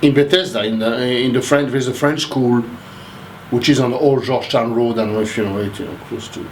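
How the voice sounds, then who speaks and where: A man's voice: medium pitch, fairly even intonation, fairly quick, a little hiss or hum, low-quality recording. A man in his sixties from Haiti, speaking casually in an interview and rambling through his memories with many restarts. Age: 60s. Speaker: interviewee, math professor.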